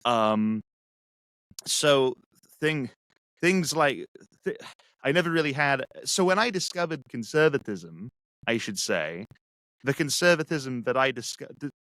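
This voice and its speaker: A man from England with an English accent, a guest speaking reflectively and haltingly with many restarts. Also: mid-range voice, expressive, fairly bright tone, no room sound, poor audio. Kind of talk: casual conversation.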